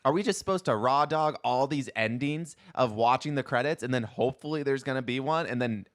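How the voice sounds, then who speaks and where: A man's voice: medium pitch, brisk. A man co-hosting a podcast in casual chat, rambling and slangy, leaning often on "like". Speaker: podcast co-host.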